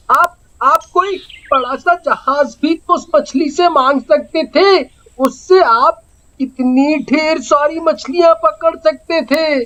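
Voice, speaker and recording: A woman's voice: high voice, fairly bright tone, poor audio. A woman voicing a fisherman's eager young son in a story, childlike, pleading and emphatic.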